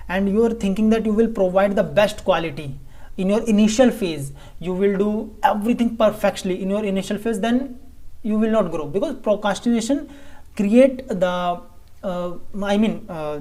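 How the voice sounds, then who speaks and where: A man with an Indian English accent talks casually to camera in a vlog. He is a native Hindi speaker, and his delivery is halting, with frequent stumbles and restarted phrases. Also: sits high, mellow. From Delhi, India. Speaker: vlogger.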